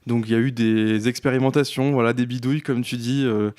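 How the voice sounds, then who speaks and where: A man's voice: medium pitch, steady tone, a little fast, darkish tone. Informal man telling a personal anecdote. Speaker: guest, audio parody creator.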